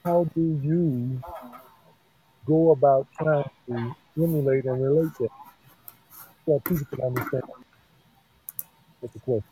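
A man's voice: mid-range voice, a little slow, pauses often, mellow, low-quality recording. A man taking part in a casual discussion, speaking hesitantly and searching for his words. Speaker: discussion guest.

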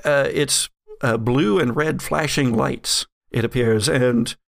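A man narrating a tabletop game as game master in a casual, improvised, halting way. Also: medium pitch, vocal fry, dry recording, clean studio sound. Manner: halting, searching for words.

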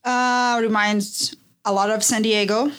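A woman's voice: on the high side, flat delivery, a little slow, a bit bright. A woman in her thirties from Brazil with a Brazilian accent, a podcast guest chatting in a studio. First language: Portuguese. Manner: friendly, chatty, simple phrasing. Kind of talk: casual interview.

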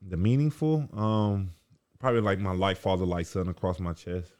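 A man talking in a relaxed, slangy way as a guest in a casual late-night chat. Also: deep voice, normal speed, clean tone. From Los Angeles area. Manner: laid-back, slangy, trailing off with "you know". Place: indoors by a fireside, late night.

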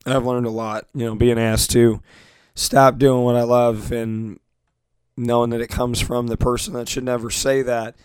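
Hoarse man hosting a sports radio show. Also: mid-range voice, little pitch movement, moderate pace, darkish tone, no echo. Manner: earnest, repetitive, grateful, many restarts. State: losing his voice, hoarse. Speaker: sports talk radio host. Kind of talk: solo internet radio show monologue.

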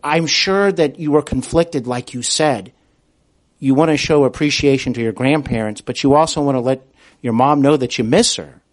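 A man hosting an interview in a conversational, courteous way, with deliberate, halting phrasing. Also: medium pitch. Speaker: podcast host, interviewer.

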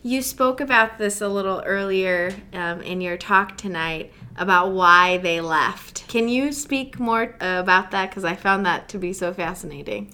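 A woman's voice: mid-range voice, moderate pace, few pauses, sharp tone, clear, resonant tone. A woman interviewing a guest, curious and encouraging as she asks follow-up questions. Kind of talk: conversational interview.

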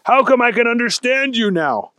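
A man's voice: extremely high pitch, lively intonation, normal speed, sharp tone, no echo, voice pushed up, full character voice. A man voicing an earnest, confused and hapless special agent in a children's story. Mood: bewildered.

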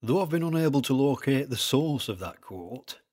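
A man's voice: mid-range voice, lively intonation, normal speed, darkish tone, pitched above the performer's own voice, close to an everyday voice. A man voicing Kane, a broad-voiced Lancastrian researcher explaining eerie lore to his friend. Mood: earnest explaining.

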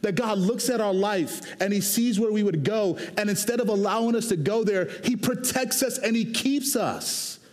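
A man from Houston, Texas, preaching a sermon as a pastor in a conversational, animated way that moves between quick asides and slow, emphatic lines. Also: high-pitched. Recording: long hall reverb.

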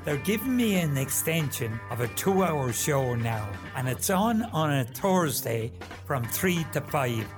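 A man's voice: on the high side, very animated, a little slow. A man presenting an informal promo for his radio show. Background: music.

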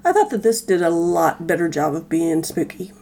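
A woman with a soft-edged, mellow voice on the lower side talks casually as a podcast guest. She is informal and hesitant, with faint noise behind her. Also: big pitch swings, moderate pace. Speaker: guest co-host. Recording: noticeable background noise.

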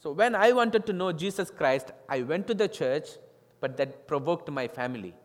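A middle-aged man with an Indian accent, a native Tamil speaker, preaching his testimony in a church, somewhat high for a man. His English is simple and earnest, with some non-native grammar. The voice carries a long echo from the big church hall. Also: animated, moderate pace. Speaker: guest pastor. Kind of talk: testimony sermon. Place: church sanctuary.

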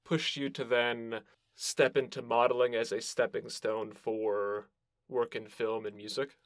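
A man's voice: medium pitch, flat delivery, measured pace. A man with a mid-range voice talks casually as a podcast interviewer. His phrasing is agreeable and slightly hesitant. The sound is dry, free of room echo.

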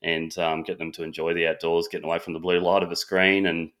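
This is a man hosting an interview podcast. His voice is very deep and his pitch barely moves. He talks quickly and sounds friendly and agreeable as he chats with his guest. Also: monotone, fairly bright tone. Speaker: podcast host. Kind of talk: interview questions and casual chat.

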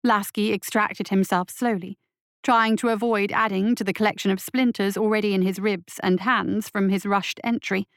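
A woman's voice: medium pitch, fairly even intonation, normal speed, no room sound. Woman narrating fiction, dry close sound. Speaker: story narrator.

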